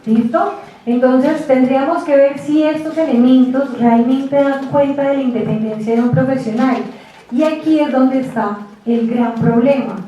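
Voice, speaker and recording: A woman giving a university lecture, chatty and lively, often asking the class rhetorical questions. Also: high-pitched, normal speed, muffled recording, slight background noise. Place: lecture room. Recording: echoey hall, distant mic.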